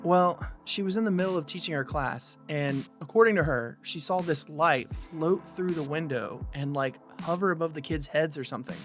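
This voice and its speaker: Earnest, higher-pitched young man played by an actor. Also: moderate pace, hardly any pauses, a bit bright, soft background music, lightly acted. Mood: troubled, uncertain. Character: earnest young man pleading for patience and trust. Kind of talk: conversational audio drama acting.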